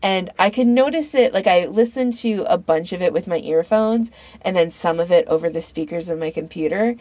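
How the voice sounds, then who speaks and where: Woman chatting casually, low-pitched, dry close sound. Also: warm tone, dry recording. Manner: informal, halting, thinks aloud. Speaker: podcast panellist recommending fan audio fiction. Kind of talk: casual chat.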